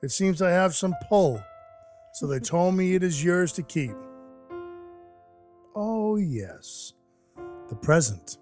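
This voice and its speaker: Man playing a highish-voiced, folksy Christmas dwarf. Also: expressive, a little mellow, faint music behind. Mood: sincere, chatty. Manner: halting, folksy, sincere. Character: male Christmas dwarf turned Santa, scruffy, apologetic, sentimental. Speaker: actor in an audio play. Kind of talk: audio drama, first-person storytelling.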